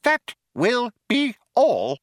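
A man voicing a small, imperious talking mouse in an audiobook, curt, sharp and dismissive. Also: sits high, huge pitch swings, unhurried, dry recording, studio quality, voice pushed up, exaggerated voice. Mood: firm. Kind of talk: audiobook character voice.

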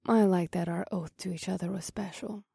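A woman acts out a confident young warrior woman from a fantasy story. She speaks softly in a medium register with a sly, teasing edge. The sound is close and dry. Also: medium pitch, a little slow, no room sound, natural voice. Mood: soft, warm. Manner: soft-spoken, sly, purring. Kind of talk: acted audiobook dialogue.

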